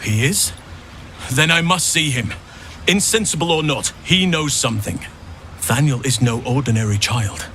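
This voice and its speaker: A solemn druid of a fantasy game. His gravelly voice is earnest and declamatory, with faint noise behind it. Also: medium pitch, animated, normal speed, pushed and bright. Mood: urgent, hopeful. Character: grave, earnest male druid of a cursed land. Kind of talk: dramatic fantasy game dialogue.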